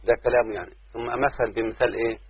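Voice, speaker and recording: Husky Egyptian sheikh on a tinny line. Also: male voice, mid-range voice, fairly even intonation. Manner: slow, deliberate, questions his listeners. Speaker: sheikh teaching Arabic grammar. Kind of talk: explaining grammar in a lesson. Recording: tinny phone-like line, dry.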